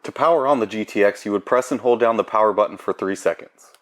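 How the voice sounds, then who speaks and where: A man's voice: lowish voice, fast. A man presenting an instructional product tutorial in a plain, procedural way.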